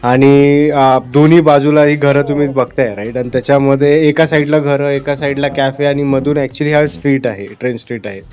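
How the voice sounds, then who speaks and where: A man's voice: mid-range voice, fairly even intonation, moderate pace, hardly any pauses, darkish tone, lo-fi sound. A man narrating a travel vlog in a casual, chatty and explanatory way. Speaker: travel vlogger.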